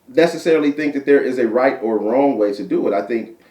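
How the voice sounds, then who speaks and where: A man with a deep voice, a guest poet chatting casually in an interview, repeating himself and tagging points with "right?". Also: fairly quick, dark tone. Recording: roomy sound from a distant mic.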